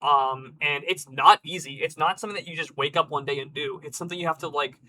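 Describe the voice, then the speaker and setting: A man hosting a live stream, slightly hoarse, talking casually and thoughtfully with many fillers. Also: fairly high pitch, quick pace, sharp tone, slight background noise, low-quality recording. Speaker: stream host.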